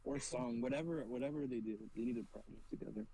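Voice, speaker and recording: Fast, gravelly young man joking around. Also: mid-range voice, mellow, poor audio. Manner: quick, joking banter. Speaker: podcast co-host. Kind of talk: casual chat.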